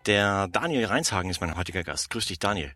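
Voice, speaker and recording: A man hosting a sports podcast, asking friendly, relaxed questions during an interview recorded inside a parked car. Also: low-pitched, fast talker, faint music behind. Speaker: podcast host.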